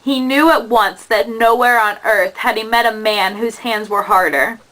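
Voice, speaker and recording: A woman giving a measured, dramatic narration of an epic poem as the storyteller of a puppet show. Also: a little high, fairly bright tone, rough recording.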